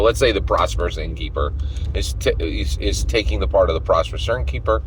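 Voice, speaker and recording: A man's voice: sits low, a little hiss or hum, low-quality recording. A man giving unscripted opinion commentary in an emphatic way, repeating phrases and checking his points with short questions. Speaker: tabletop gaming commentator.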